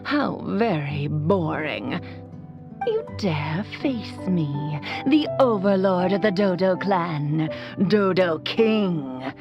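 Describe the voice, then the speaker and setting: Woman as a menacing game villain, slow, lowered voice, grandiose, taunting, music behind. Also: expressive, muffled recording, full character voice. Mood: ominous, taunting. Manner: slow, grandiose, mock-ominous. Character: menacing, pompous overlord villain taunting a challenger. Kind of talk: video game villain monologue.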